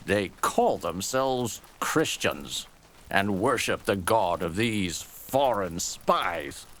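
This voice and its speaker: A man voicing a gruff, scornful old Japanese soldier in a storybook tale, with emphatic, contemptuous delivery. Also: lowish voice, expressive, a bit bright, slight background noise. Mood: vehement contempt. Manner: emphatic, contemptuous, drawn-out key words. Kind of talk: storybook character dialogue.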